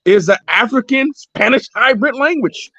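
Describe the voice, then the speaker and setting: A man's voice: extremely high pitch, lively intonation, normal speed, bright, forceful tone, dry recording, dull audio. A man hosting a livestream, arguing heatedly and repeating himself, sometimes swearing. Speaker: livestream host. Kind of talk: heated casual debate.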